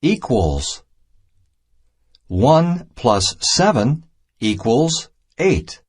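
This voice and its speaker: Male narrator for a kids' educational storybook: deliberate, unhurried read, animated intonation, clearly separated phrases. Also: medium pitch. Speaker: narrator of a children's math storybook. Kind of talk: scripted read-aloud narration, simple and instructional.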